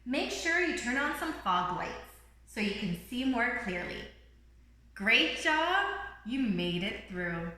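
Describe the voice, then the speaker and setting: Casting: woman as small cheerful bird guide for a picture book, high-pitched, reassuring, echoing room. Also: big pitch swings, slow, pushed and bright, full character voice. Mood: soothing, encouraging. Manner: bubbly, reassuring, exclamatory. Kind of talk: children's picture book read-aloud. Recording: roomy, echoing room sound.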